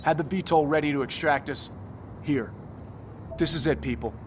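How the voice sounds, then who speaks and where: An actor playing a decisive special forces commander in a video game, terse and commanding. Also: male voice, on the high side, normal speed, close to an everyday voice. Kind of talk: video game cutscene acting. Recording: loud vehicle and outdoor noise.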